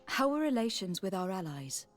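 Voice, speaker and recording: An actress playing a caring young noblewoman and envoy in a video game, speaking in an earnest, measured, formal way. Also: medium pitch, sing-song, faint music behind, close to an everyday voice. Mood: concerned, polite. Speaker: voice actor. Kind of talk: video game cutscene dialogue.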